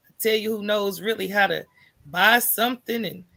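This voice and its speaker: Podcast guest: American woman, bright, gravelly edge, candid informal storyteller. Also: mid-range voice, moderate pace. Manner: informal, candid, often checks in with "right?". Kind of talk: conversational personal storytelling in an interview.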